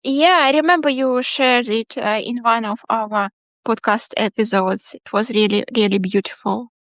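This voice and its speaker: A woman's voice: a little high, normal speed, fairly bright tone, dry recording. A woman hosting a podcast interview, asking questions in a friendly, encouraging way and often repeating her words. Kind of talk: casual interview.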